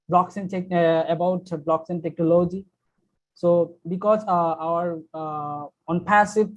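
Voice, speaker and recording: A man, talking very slowly and haltingly on an online call, filling his frequent pauses with uh. The call audio is dull and lo-fi. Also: high voice, darkish tone. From Bangladesh. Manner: halting, many uh pauses, searching for words. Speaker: meeting participant. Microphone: video call.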